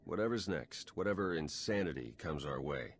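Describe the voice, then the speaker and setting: Very deep-voiced secret agent. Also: male voice, lively intonation, normal speed, slight background noise. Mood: earnest, warm. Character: weary, dry-witted, resolute male covert intelligence agent. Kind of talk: video game dialogue.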